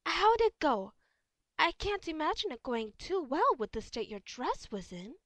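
A woman's voice: high-pitched, very animated, a little slow, fairly bright tone, no echo, exaggerated voice. A woman voicing an elegant, theatrical, gossipy female cartoon unicorn pony. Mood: curious, eager. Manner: refined, effusive, theatrical. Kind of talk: amateur cartoon fan audio drama.

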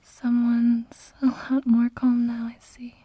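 A woman playing a tender, doting mother in a soft-spoken ASMR roleplay, with a breathy, whispery, soothing voice. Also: fairly high pitch, completely flat delivery, no room sound. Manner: slow, gentle, soothing. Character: tender doting mother soothing her sleepless loved one.

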